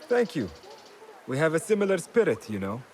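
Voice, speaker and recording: A man's voice: on the high side, wildly swooping pitch, normal speed. A male actor playing a stubborn, friendly comrade in a conversational video game scene. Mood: friendly, candid.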